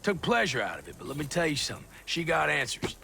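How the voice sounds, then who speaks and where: An actor playing a brash, crude mercenary in a video game cutscene, gravelly and threatening. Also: male voice, fairly high pitch, sing-song, fast, pushed and bright, slight background noise, full character voice. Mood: taunting. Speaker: mercenary contractor.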